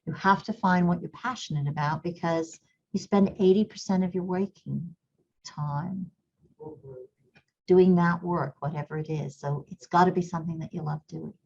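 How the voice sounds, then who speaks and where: A woman's voice: lowish voice, fairly even intonation, moderate pace, vocal fry, a little mellow, highs cut off, a little hiss or hum. A middle-aged woman who grew up in Kenya, with a slight English accent, talks earnestly as an interview guest and repeats words while she thinks. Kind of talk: reflective interview talk.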